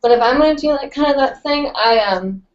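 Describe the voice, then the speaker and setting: A woman's voice: high-pitched, fairly quick, muffled recording. A young woman with a stuffy, nasal voice, chatting casually and rambling as she answers viewers' hooping questions on a live stream. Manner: chatty, rambling, with many fillers.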